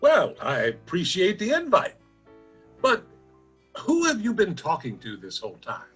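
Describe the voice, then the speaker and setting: A man's voice: high-pitched, wildly swooping pitch, unhurried, fairly bright tone, husky, faint music behind, exaggerated voice. A man playing a folksy, bewildered nineteenth-century general store owner in a radio drama, with plain-spoken country talk. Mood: puzzled. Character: old-time West Virginia general store proprietor from the 1800s, folksy, bewildered. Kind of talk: old-time radio drama acting.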